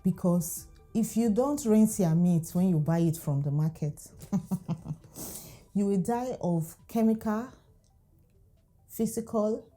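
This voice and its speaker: A woman's voice: on the low side, warm tone. A Nigerian woman whose first language is Igbo, chatting animatedly to camera about food safety and laughing often. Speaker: food vlogger. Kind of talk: casual talk to camera.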